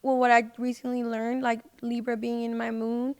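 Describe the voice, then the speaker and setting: A woman with a smooth, fairly high voice talks casually as a podcast guest. Her pitch stays in a narrow, even band. She phrases things informally, leaning often on "like" and "you know". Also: normal speed. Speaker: podcast guest, singer and social media personality. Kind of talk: casual chat.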